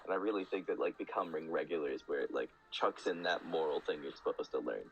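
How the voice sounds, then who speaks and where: A man's voice: a bass voice, fast, dull audio. A man chatting casually as a podcast guest, explaining things in an enthusiastic, rambling way with lots of "like". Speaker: guest speaker.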